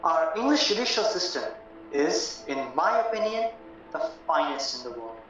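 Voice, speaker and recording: A man acting a courteous, formal solicitor in a radio play, deliberate and a little hesitant. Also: fairly high pitch, big pitch swings, fairly bright tone. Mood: calm, reassuring. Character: courteous, measured English male solicitor, formal and reassuring. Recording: roomy, distant, muffled, music underneath.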